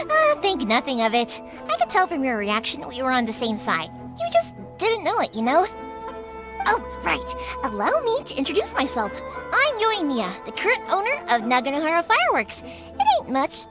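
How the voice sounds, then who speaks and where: A woman's voice: high-pitched, wildly swooping pitch, normal speed, over music. A young woman voicing a cheerful, playful fireworks shop owner in a video game dub, bubbly and giggly with folksy phrasing.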